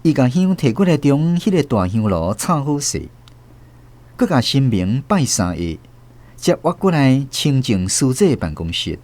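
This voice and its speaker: Lively male Taiwanese storyteller. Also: mid-range voice, unhurried. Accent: Taiwanese Hokkien. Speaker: host and narrator. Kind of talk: dramatic storytelling narration of radio drama.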